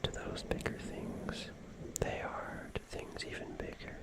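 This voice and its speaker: A soft, breathy whisper carries this slow ASMR monologue. The speaker muses in short phrases with long pauses between them, reflective and unhurried throughout. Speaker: ASMR creator.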